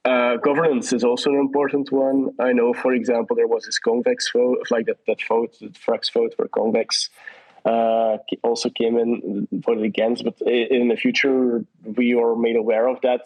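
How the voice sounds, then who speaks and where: A man's voice: mid-range voice, flat delivery, moderate pace. A man answering questions in a casual online audio chat, hesitant, with many restarts and fillers. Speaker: guest from a partner project. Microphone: online audio call. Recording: dull lo-fi audio call.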